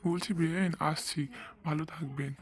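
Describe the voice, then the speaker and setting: A man voicing a gentle, apologetic visiting father in a cartoon, speaking in a deferential way. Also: a little high, fairly even intonation, normal speed, natural voice. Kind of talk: cartoon dubbing.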